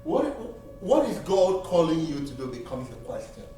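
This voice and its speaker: A man's voice: fairly high pitch, big pitch swings, normal speed, dark tone, slight background noise. A man from Nigeria with a Nigerian accent whose first language is Igbo, giving an earnest church testimony and appeal that repeats key phrases for emphasis. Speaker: seminary graduate speaking at church. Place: church sanctuary. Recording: distant, roomy church recording.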